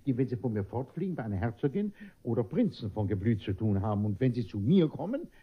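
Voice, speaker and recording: An actor playing a gullible, vain middle-aged gentleman in a German radio play, stammering and bumbling his way along, flustered and eager. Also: mid-range voice, sing-song, fast, slightly rough. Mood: hesitant, self-important. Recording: dull, muffled old radio recording.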